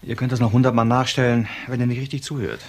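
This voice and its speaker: An actor playing a dogged, old-fashioned police detective in a crime radio drama, dry, blunt and sarcastic. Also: male voice, mid-range voice, fairly even intonation, quick pace, darkish tone, lightly acted. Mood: impatient, firm. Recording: clear background vehicle and street noise.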